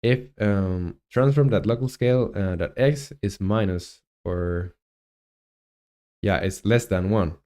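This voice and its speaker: Slow, smooth-voiced Chilean man thinking aloud. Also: low-pitched, a little mellow. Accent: Chilean Spanish. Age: 20s. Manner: halting, thinking aloud with many fillers. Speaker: game developer streamer. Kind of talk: live coding stream commentary.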